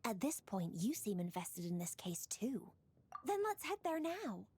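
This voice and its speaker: A woman's voice: mid-range voice, big pitch swings, a little mellow, a little hiss or hum. A woman voicing a courteous young lady investigator in a video game, speaking formally and with composure. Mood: concerned. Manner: formal, measured explanation.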